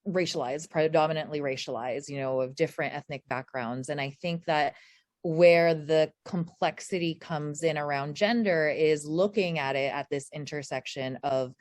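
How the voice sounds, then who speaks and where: A woman's voice: low-pitched, moderate pace, darkish tone, no room sound, studio quality. A woman from the Philippines speaking on a video-call panel, candid and searching for words. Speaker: workshop panelist. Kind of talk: conversational panel talk.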